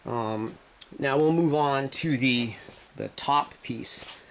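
A man's voice: medium pitch, takes it slowly, slight background noise. Man rambling through a workshop build. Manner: rambling, self-correcting explanations while filming. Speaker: DIY video maker. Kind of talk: casual workshop build walkthrough. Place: indoor garage workshop.

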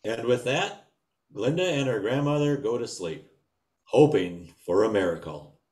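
A man's voice: mid-range voice, expressive, a little slow, a little mellow, reverberant, close to an everyday voice. An older man playing the dramatic narrator of an old-time radio melodrama.

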